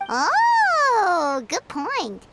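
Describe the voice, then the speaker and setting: A woman voicing an excitable tiny female floating companion who talks about herself in the third person, with a high squeaky childlike voice in game audio with background music. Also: extremely slow, background noise, heavily acted. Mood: excited. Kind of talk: game voice acting.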